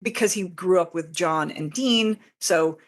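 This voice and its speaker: A woman with a slightly low voice speaks as a guest in a casual interview. She explains things in detail, doubling back and interrupting herself as she goes, in an informal, conversational way. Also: a little slow. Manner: rambling, self-interrupting, informal. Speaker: interview guest, fan fiction author. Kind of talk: casual, detailed explanation of own writing process.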